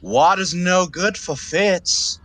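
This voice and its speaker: A man's voice: sits high, takes it slowly, bright, forceful tone, exaggerated voice, low-quality recording. A man acting an earnest, naive young suitor in a 1920s stage comedy, courteous and genial. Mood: concerned, genial.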